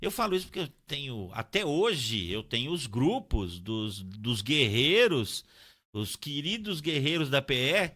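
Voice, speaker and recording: A man's voice: mid-range voice, very animated, unhurried, sharp tone, no room sound, studio quality. A middle-aged man with a São Paulo Brazilian accent telling his story in an interview, emphatic, repeating phrases and checking he is understood. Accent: São Paulo Brazilian Portuguese. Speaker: podcast guest, pharmaceutical sales representative. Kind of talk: conversational personal storytelling in an interview.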